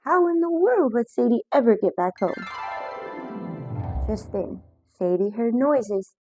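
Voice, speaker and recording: A woman reading a children's picture book aloud, lively and expressive, with voices for the characters. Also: a little high, a little slow, highs cut off, music in the background. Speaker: storyteller.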